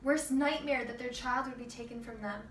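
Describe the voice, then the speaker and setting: A woman's voice: fairly high pitch, fairly even intonation, normal speed, natural voice. A woman playing a grieving but steadfast mother of a missing child in a rehearsed stage monologue. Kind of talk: rehearsed readers theater monologue. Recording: roomy distant mic with background noise.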